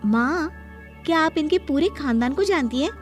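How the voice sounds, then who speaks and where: A woman's voice: high-pitched, animated, normal speed. A woman playing a cheeky, haughty young daughter-in-law in a Hindi cartoon family drama, with a pert, argumentative delivery. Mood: snippy. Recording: music behind the voice.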